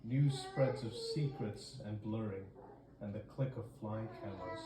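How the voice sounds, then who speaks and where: A man's voice: on the low side, unhurried. A man reading poetry aloud as an amateur visiting reader, on a noisy, echoey recording.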